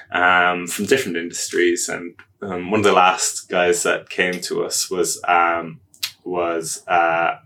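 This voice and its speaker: A man's voice: low-pitched, a bit bright. An Irish man in his thirties, an agile coach telling his career story in a casual interview, chatty and self-deprecating with many ums and restarts. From Ireland.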